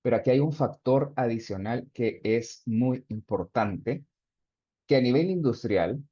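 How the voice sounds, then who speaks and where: A man's voice: on the low side, mellow, dry recording. Man teaching an online class, dry lo-fi sound. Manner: explanatory, reads chat answers aloud. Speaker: instructor. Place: video call.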